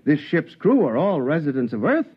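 An actor playing a harried, placating spaceship captain in a 1950s radio drama, hesitant and coaxing in a formal way. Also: male voice, fairly high pitch, very animated, normal speed, breathy edge. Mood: persuasive. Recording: muffled 1950s radio recording.

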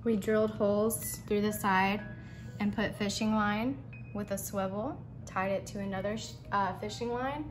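A woman's voice: medium pitch, steady tone, normal speed. A young woman hosting a casual do-it-yourself walkthrough in her home garage, chatty and informal, recorded on a phone camera. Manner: chatty and informal, says y'all. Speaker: painting channel host. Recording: clear background music underneath.

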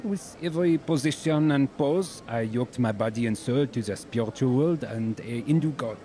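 An actor plays an earnest young Frenchman in a radio drama, a musician searching for meaning. His voice is mellow and mid-pitched. He speaks thoughtfully and drops in words. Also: moderate pace, natural voice. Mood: weary, searching. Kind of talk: audio drama acting.

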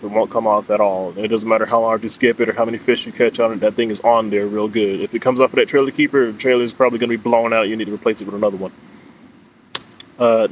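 A man in his thirties talks outdoors with faint noise behind him. His voice sits at a middle pitch. He explains fishing gear in an informal, plain-spoken, instructive way, like a vlogger talking to camera. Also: poor audio. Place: outdoors under leafy trees. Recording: clear steady outdoor background noise.

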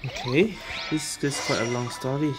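A woman's voice: rumbling low, moderate pace, low-quality recording. A woman giving casual let's-play commentary, giggly and muttering her reactions to the game. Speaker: game streamer. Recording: loud game music behind voice. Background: game music and sound effects.

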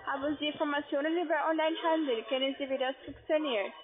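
A woman with a foreign accent, a non-native speaker, giving a halting phone sales pitch as a call-centre agent. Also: steady tone. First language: not German. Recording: tinny phone-like line.

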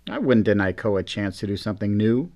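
A man's voice: on the low side, very animated, fast, a little mellow. A man playing an affectionate, playful simulated astronaut father in a science fiction audio drama. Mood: gentle.

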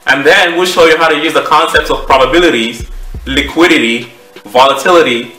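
A man in his thirties with a Cameroonian accent, giving a measured, explanatory presentation to camera as a trading educator. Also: a little high, pushed and bright, soft background music. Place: small home office, indoors. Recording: roomy echo, distant microphone.

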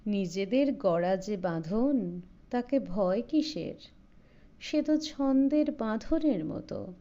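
A woman voicing a thoughtful, idealistic bridegroom in a story, calm and gently reasoning. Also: medium pitch, takes it slowly, highs cut off. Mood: calm persuasion. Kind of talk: storybook character voice.

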